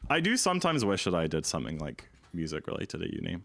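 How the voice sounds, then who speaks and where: A young man with a deep voice, streaming and chatting easily with viewers in a rambling, relaxed way. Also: fast talker, creaky, a little hiss or hum. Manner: rambling, easygoing, full of "like". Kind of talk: casual chat while gaming, answering chat. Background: music.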